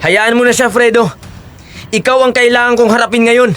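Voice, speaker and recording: A man's voice: extremely high pitch, flat delivery, moderate pace, fairly bright tone, clean tone, soft background music. A man playing a righteous, commanding horseman who taunts the fugitive he pursues in an audio drama. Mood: stern, urging.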